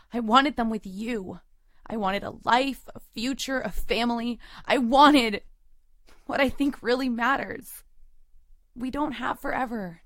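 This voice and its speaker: A woman's voice: on the high side, vocal fry, fairly bright tone, no room sound, clean studio sound. A woman playing a hurt, resolute girlfriend in an audio drama, with an intimate, controlled and emotionally weighted delivery. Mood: resigned, sad. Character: hurt, resolute girlfriend leaving her long-term boyfriend. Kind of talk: audio drama acting with first-person narration.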